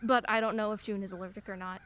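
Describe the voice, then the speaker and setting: A woman's voice: mid-range voice, flat delivery, fast talker, noisy background, natural voice. An actress playing a wry, candid single mother in her forties in a radio play, a lawyer who laughs easily while talking. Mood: conversational, wry.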